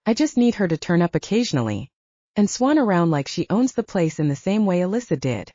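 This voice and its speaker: Woman voicing smug werewolf club owner, husky and lively. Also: fairly low pitch, brisk, dry recording, lo-fi sound. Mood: smug, taunting. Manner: taunting, smug. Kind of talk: audiobook character dialogue.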